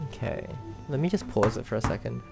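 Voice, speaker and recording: Gamer with a creaky voice, chatting while playing. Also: mid-range voice, animated, fairly quick, music in the background. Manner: talks to self and viewers, exclaims, giggles often. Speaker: let's play video host. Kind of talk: casual gaming commentary, reacting while playing.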